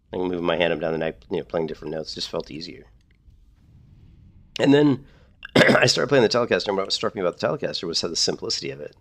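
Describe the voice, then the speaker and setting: A man in a casual, rambling chat about guitars, losing his voice a little and clearing his throat often. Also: sits low, fairly bright tone. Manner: rambling, repeats himself, frequent throat clearing. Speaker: streamer.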